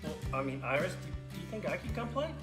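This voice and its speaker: A man speaking casually and a little haltingly as a sidekick on a children's puppet show, making up stories. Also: on the high side, sing-song. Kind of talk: casual improvised storytelling for kids. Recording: roomy echo, faint music behind.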